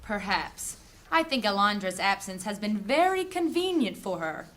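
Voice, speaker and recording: A woman's voice: mid-range voice, big pitch swings, normal speed, a bit bright. A woman acting a strong-willed, suspicious female mage in a fantasy audio drama, with a formal, theatrical delivery. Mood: cool, pointed.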